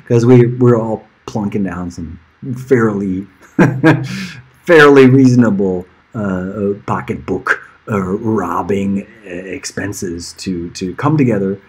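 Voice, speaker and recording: A man's voice: lowish voice, takes it slowly, a little mellow, slightly rough. A middle-aged man chatting casually on a livestream in a rambling, informal way that drifts from one aside to the next. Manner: rambling, informal, easily sidetracked, chuckling now and then. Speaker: musician livestreaming to friends and fans.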